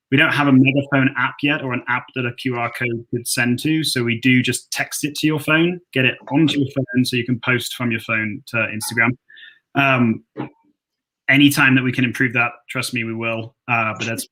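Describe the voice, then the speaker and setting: A man's voice: medium pitch, fairly even intonation, a little fast, sharp tone, low-quality recording. A man giving explanatory talk as a company representative in a webinar.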